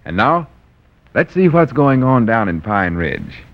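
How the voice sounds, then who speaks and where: A man announcing and narrating a 1940s radio show in a smooth, friendly sales-pitch manner. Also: very animated, unhurried. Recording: dull old 1940s radio recording.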